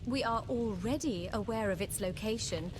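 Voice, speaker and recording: A woman's voice: a little high, normal speed, background noise, close to an everyday voice. A woman playing a guarded, emotionally wounded adventuring daughter in a fantasy game, formal and earnest in manner. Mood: guarded, questioning.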